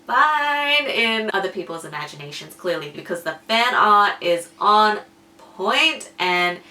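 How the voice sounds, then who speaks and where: A woman's voice: mid-range voice, lively intonation, a little slow, sharp tone. A woman book vlogger chatting casually to camera in a self-conscious, slightly giggly way. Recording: roomy echo, distant from mic.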